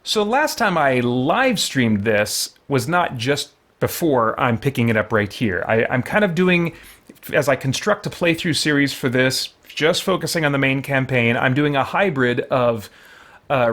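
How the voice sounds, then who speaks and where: A man's voice: medium pitch, sing-song, a little slow, fairly bright tone. Casual, rambling man live streaming a game. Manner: rambling, informal, thinking aloud. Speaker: live streamer, gaming channel host.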